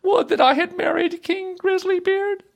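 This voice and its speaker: Spoiled, spiteful storybook princess, very high-pitched, theatrical, given to mocking and whining. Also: lively intonation, a little slow, vocal fry, full character voice. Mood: wailing, regretful. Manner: exaggerated, theatrical exclamations.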